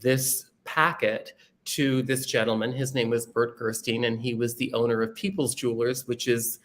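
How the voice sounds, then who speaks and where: An animated man from Canada and England talks as a guest on a casual chat show. His pitch sits in the middle for a man. He tells anecdotes in a chatty way, with careful diction and a readiness to laugh. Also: brisk, slight background noise.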